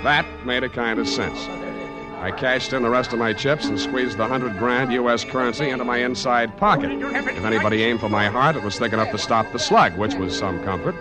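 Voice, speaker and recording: An actor playing a wry, tough private detective in 1940s radio drama style, with clipped deadpan delivery. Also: male voice, medium pitch, fairly quick, loud music behind the voice, lightly acted. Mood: brisk, wry narration. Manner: wisecracking, deadpan, clipped hard-boiled delivery. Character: wry, tough male private detective narrating his case.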